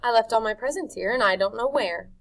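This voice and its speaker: A woman's voice: fairly high pitch, fairly quick, gravelly, voice pushed up. A woman doing a wary young boy. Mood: guarded. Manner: short, guarded replies.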